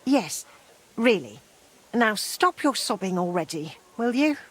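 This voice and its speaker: A woman playing a forgiving, no-nonsense townswoman past her youth in a dramatic game, with a brisk, kindly manner. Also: medium pitch, big pitch swings. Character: forgiving, brisk older townswoman, self-described old crone.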